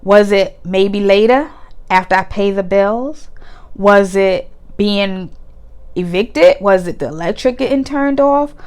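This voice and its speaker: A woman with a stuffy nose from a cold speaks as a money coach, addressing the listener directly. Her delivery is earnest and encouraging, and she keeps asking questions to make the viewer reflect. Her voice sits at an ordinary middle pitch. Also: smooth. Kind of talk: direct-to-camera coaching talk.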